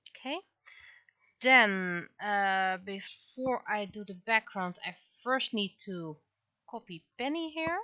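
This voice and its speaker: A woman chatting casually on a livestream as she works, thinking aloud in a halting way and talking half to herself. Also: medium pitch, lively intonation, sharp tone, no room sound, muffled recording. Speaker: livestreaming webcomic artist. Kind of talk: casual livestream chat while working.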